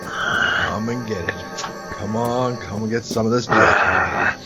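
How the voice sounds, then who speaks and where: An actor playing a tough, urgent truck driver in a horror audio drama, talking in a folksy, slangy, commanding way. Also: male voice, on the low side, takes it slowly, over loud music. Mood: menacing. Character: tough, urgent truck driver taking charge under siege. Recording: dull lo-fi recording.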